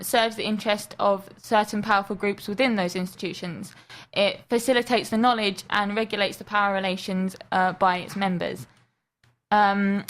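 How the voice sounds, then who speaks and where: A woman's voice: mid-range voice, a bit bright. Young female student, careful academic explainer on radio. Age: young adult. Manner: careful, explanatory, many ums. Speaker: student presenter. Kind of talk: scripted radio presentation.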